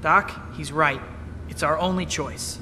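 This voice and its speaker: A man's voice: on the high side, unhurried, background noise, close to an everyday voice. An actor playing a cocky, determined young male superhero in a video game, with a quippy, sardonic way of talking. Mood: concerned, resolute. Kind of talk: video game cutscene acting.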